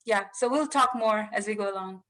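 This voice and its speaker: A woman's voice: mid-range voice, brisk, fairly bright tone. A woman from India, a mechanical engineer, introducing herself on a video-call panel politely with small fillers.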